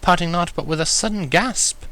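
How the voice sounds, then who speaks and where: A man's voice: sits high, normal speed, bright, forceful tone, voice pushed up. A man voicing a refined, dignified female cartoon pony with a proper, polite manner in a fan fiction reading. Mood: composed, gracious.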